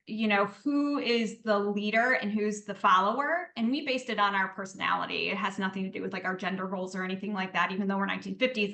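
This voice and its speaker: A woman's voice: medium pitch, sharp tone, reverberant. A woman, a podcast guest, talking at length in a casual interview. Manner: talkative, explains at length.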